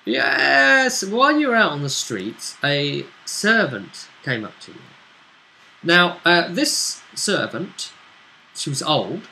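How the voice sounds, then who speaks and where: A British man speaking as a game master, recapping a tabletop role-playing story in a halting, conversational way. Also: on the high side, sing-song, gravelly, bright, forceful tone, poor audio.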